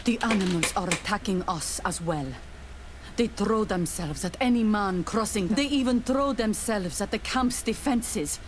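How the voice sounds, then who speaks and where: A woman's voice: mid-range voice, a little slow, lightly acted. An actress playing a proud, guarded native island woman chief in a video game, speaking bluntly. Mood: wary, defensive. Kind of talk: video game dialogue acting. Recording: game audio with clear background noise.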